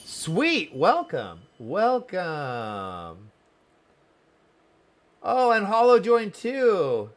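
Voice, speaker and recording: A man's voice: high-pitched, wildly swooping pitch, drawn-out words, pauses often. Chatty, welcoming male game streamer. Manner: chatty, welcoming, repeats words. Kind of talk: casual live-stream chat and game commentary.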